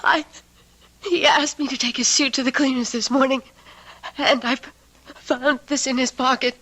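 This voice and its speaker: A woman's voice: sits high, fairly even intonation, normal speed, sharp tone. An actress playing an emotional, mysterious woman suspected of witchcraft in an old-time radio drama. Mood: anxious, pleading. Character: frightened, pleading, darkly mysterious honey-blonde woman suspected of witchcraft.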